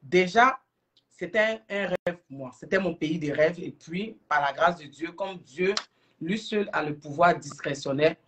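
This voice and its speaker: A middle-aged woman with an Ivorian accent, speaking earnestly and deliberately as a guest in a livestream interview. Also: fairly low pitch. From Ivory Coast. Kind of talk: explanatory interview talk on a livestream.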